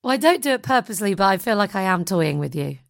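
A woman co-hosting a tabletop game podcast, chatting casually and laughing easily, her voice a little croaky today. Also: mid-range voice, sing-song, quick pace. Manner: giggly, playful. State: slightly croaky voice. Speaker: podcast co-host.

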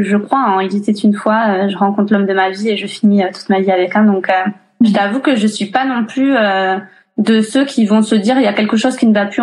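A woman's voice: medium pitch, darkish tone. Chatty French woman in her thirties. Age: 30s. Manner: chatty, frequent fillers and restarts. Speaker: interviewee, single mother. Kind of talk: casual chat.

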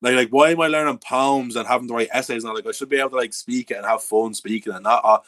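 A young Irish man talks in a casual podcast chat and gets excited easily. He fills his talk with "like" and "boys" and swears freely. His pitch is ordinary and the recording is close and dry. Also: fast, no room sound. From Ireland. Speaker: podcast co-host.

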